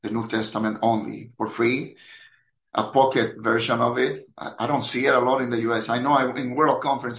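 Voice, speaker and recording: A man in his fifties from the Dominican Republic, a native Spanish speaker with a Dominican accent, casually telling his story as a podcast guest in a hesitant, halting way, in a roomy echoey recording. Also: fairly low pitch, creaky, a bit bright. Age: 50s.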